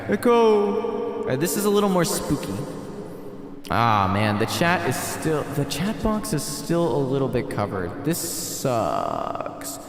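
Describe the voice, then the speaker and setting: A man's voice: medium pitch, wildly swooping pitch, slow pace. A man hosting a livestream, moving between rambling casual chat to himself and dramatic reading of a spooky story aloud. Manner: rambling, talks to himself while tinkering. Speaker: streamer reading a visual novel aloud. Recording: heavy added reverb and echo, music.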